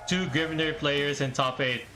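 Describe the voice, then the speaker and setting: A man streaming casual reactions to a tournament in a mild, halting manner. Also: on the high side, steady tone. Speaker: streamer watching an esports stream. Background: music.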